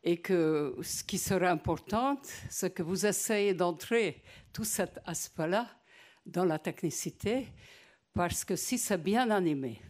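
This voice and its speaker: An older woman who is not a native French speaker, talking very slowly and searching for words. She stumbles and restarts as she puts a long question from the audience at a conference. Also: lowish voice, animated, a little mellow. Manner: hesitant, halting, many restarts and fillers. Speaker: audience member asking a question.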